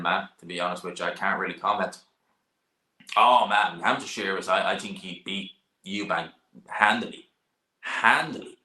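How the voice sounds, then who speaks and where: A young Dublin man with an Irish accent chats loosely through viewer questions, repeating phrases and using casual slang. His voice is low but sharp and forceful. The room around him rings with echo. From Ireland. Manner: rambling, repeats himself, informal slang. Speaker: boxing channel host. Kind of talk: live stream chat answering viewer questions.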